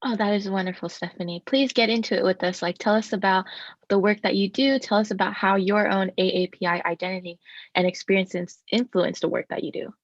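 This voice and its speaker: A woman's voice: mid-range voice, steady tone, a little fast, no echo, dull audio. A woman interviewing a guest, asking casual questions and rephrasing them with "like".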